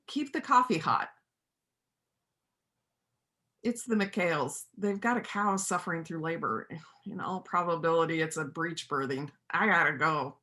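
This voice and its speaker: A woman's voice: lowish voice, pushed and bright. A woman playing a kind, plain-spoken widow who works with farm animals, in a reader's theater play. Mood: practical, caring. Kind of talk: stage reader's theater dialogue.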